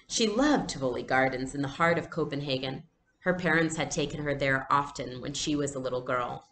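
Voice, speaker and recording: Low-pitched woman reading aloud, echoey room. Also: fairly quick, fairly bright tone. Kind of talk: expressive storybook read-aloud. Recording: echoey room, faint background noise.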